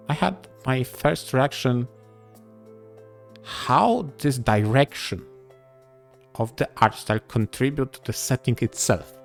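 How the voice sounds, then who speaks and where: A man co-hosting a podcast, speaking in halting, deliberate phrases as he probes a point. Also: medium pitch. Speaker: podcast co-host. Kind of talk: casual podcast discussion.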